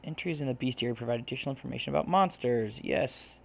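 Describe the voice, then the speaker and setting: Creaky-voiced man doing casual game commentary. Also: medium pitch, fast talker, a little mellow. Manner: musing aloud, informal. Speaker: gaming video host. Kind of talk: casual let's play commentary, reading game text aloud.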